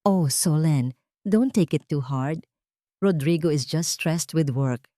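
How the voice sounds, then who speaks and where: A woman's voice: low-pitched, slow pace, mellow, no echo. A woman narrator plays a scheming mistress in an audio melodrama who poses as a best friend. She speaks with syrupy fake concern in a dry, close recording. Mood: falsely sweet.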